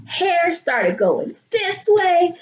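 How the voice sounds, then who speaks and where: A woman teacher reading a picture book aloud to children and talking them through it, with expressive, dramatic delivery and drawn-out emphasis. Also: very high voice, highs cut off. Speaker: elementary school teacher.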